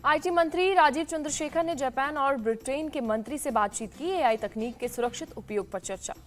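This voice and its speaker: Indian lady news anchor reading out headlines, highish voice, bit of music under it. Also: fairly quick. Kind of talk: scripted rapid-fire news headline reading.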